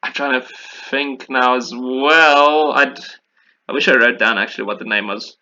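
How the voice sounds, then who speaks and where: A man's voice: medium pitch, fairly even intonation, normal speed, bright, forceful tone, lo-fi sound, a little hiss or hum. A man chatting casually and enthusiastically about video games in an informal way, as a podcast host.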